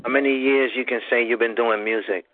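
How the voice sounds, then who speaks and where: A man hosting an interview, asking casual questions in a halting way with many ums and restarts. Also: mid-range voice, fairly even intonation, a little hiss or hum. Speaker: interview host. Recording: dry, tinny phone-like sound.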